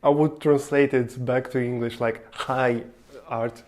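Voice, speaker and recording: A man's voice: medium pitch, fairly even intonation, measured pace, a little mellow. Hesitant, giggly man. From Latvia. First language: Russian. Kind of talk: casual chat.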